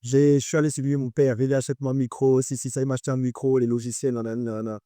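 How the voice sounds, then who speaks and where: A man's voice: medium pitch, level tone, fast, dark tone, no echo, clean studio sound. A man in his twenties with a French accent, a guest artist talking casually in an interview and repeating phrases for emphasis.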